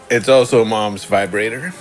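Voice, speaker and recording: A man taking part in a game as a panelist, casually reading out his answers. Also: lowish voice.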